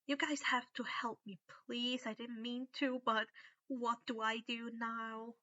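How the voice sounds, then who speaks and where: A woman's voice: a little high, flat delivery, unhurried, pushed and bright, highs cut off. A woman playing a frightened, guilt-stricken young boy wizard in a story, gasping and urgent. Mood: panicked.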